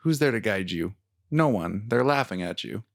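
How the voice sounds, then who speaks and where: A man with a baritone voice co-hosting a casual podcast, easygoing and playful, laughing often as he talks into a podcast microphone. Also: lively intonation, moderate pace. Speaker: podcast co-host. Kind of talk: casual chat.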